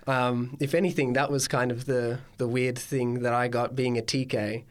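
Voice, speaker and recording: A man's voice: mid-range voice, fairly even intonation, moderate pace. A man telling childhood anecdotes as an interview guest, in a wry, good-humored way. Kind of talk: casual anecdotes.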